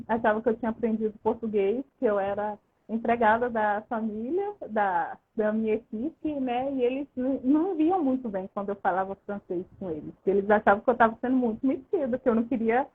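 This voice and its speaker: A woman's voice: a little high, fairly quick, breathy edge. A Brazilian woman, a guest on a video call, telling her story at length with many restarts. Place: abroad, far from the host. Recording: tinny phone-like line.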